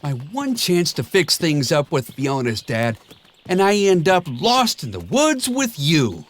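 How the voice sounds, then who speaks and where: A man voicing a grumpy big male ogre, gruff and huffy. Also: on the high side, very animated, a little slow, voice pushed up.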